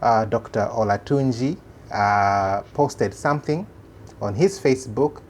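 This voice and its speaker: A man from Malawi with a Malawian accent, a native Chichewa speaker, hosting an informal religious commentary in an earnest, halting way that repeats phrases for emphasis. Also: on the low side, slight background noise. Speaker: host of a religious commentary channel.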